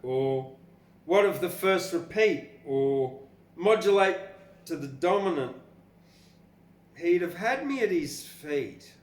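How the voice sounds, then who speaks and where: Slow-talking older Australian man, echoey room. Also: a little high, animated. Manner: rambling, informal, blunt. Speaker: poetry podcast host and reader. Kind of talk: casual commentary and expressive poetry reading. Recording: echoey room with faint background noise.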